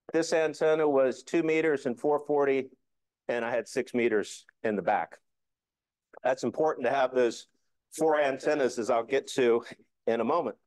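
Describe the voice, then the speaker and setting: A man's voice: a little high, clear, resonant tone, no echo. A man presenting an informal slide talk to a hobby club, explaining things in a folksy, joking, hands-on way. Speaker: amateur radio club presenter.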